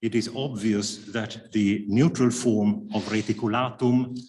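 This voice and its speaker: Man giving a measured lecture. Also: fairly low pitch, steady tone, a little mellow, slight background noise. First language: Swedish. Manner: measured and careful, with frequent hesitation fillers. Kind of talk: academic lecture read from a prepared paper.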